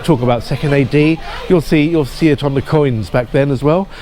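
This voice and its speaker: A man's voice: a little high, fairly quick, mellow. A man presenting outdoors at a football ground, talking informally with many ums and restarts. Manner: hesitant, full of restarts and ums, apologetic.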